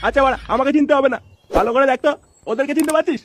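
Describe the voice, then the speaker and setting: Male actor as foul-mouthed, short-tempered father for cartoon comedy; racing delivery over a clearly noisy track. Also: extremely high pitch, racing. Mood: exasperated. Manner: scolding, crude, blustering. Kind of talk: exaggerated Bengali comedy cartoon dubbing.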